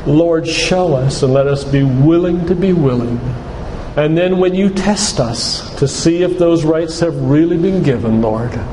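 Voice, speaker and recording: A man leading a church altar call and prayer, speaking earnestly in short phrases with pauses, recorded live in a church. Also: a little high, warm tone, in a large hall, a little hiss or hum, rough recording.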